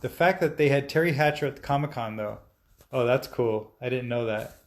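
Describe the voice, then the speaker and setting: A man in his forties, a stop-motion animator, answering viewer questions in a relaxed, chatty way with frequent fillers and restarts. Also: medium pitch, brisk. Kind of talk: casual live-stream Q&A, reading viewer comments aloud.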